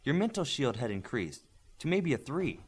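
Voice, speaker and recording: A man reads as an analytical superheroine in an audiobook. The delivery is inquisitive and matter-of-fact, with lively movement in pitch. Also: normal speed, breathy edge. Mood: curious. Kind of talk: audiobook character dialogue.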